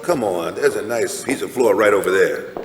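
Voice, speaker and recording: An actor playing a streetwise, insistent, world-weary homeless conspiracy believer in an audio drama. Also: male voice, fairly low pitch, normal speed, natural voice. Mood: reassuring, brisk. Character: streetwise, conspiracy-minded homeless man, an earnest mentor. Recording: reverberant hall with steady noise.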